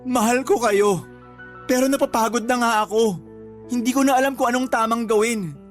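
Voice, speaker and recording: Hurt Filipino live-in partner, high-pitched, earnest, reproachful, worn out, radio romance drama, faint music. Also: male voice, moderate pace. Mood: pained.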